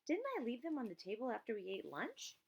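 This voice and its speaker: Storybook lead: well-mannered young boy played by a woman, earnest, plain-spoken, easily flustered, slight creak. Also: on the high side, sing-song, a little fast, pitched above the performer's own voice, heavily acted. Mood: worried. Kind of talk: children's audiobook character voice.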